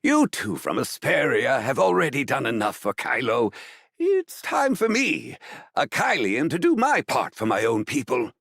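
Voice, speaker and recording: A man playing a brash, teasing, world-weary funeral agency boss in an animated game, with a gravelly voice and a theatrical manner. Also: mid-range voice, unhurried, no room sound, clean studio sound, exaggerated voice. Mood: firm, earnest.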